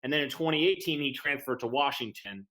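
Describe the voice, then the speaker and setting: A man's voice: mid-range voice, fairly quick, bright, forceful tone, rough recording. A man co-hosting a casual sports podcast, breaking down players in an earnest, hesitant way with many ums and restarts. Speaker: podcast co-host.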